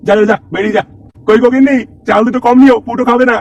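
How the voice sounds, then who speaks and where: A gravelly cartoon father acted out by a man, stingy and pious, with a fairly high voice and a sing-song, sarcastic drawl. He is recorded from slightly off the mic. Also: steady tone, rapid-fire, darkish tone, no echo, distant mic, highs cut off. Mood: sarcastic, exasperated. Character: stingy poor middle-aged father, pious, teasing, grumbling. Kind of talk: comic cartoon dubbing.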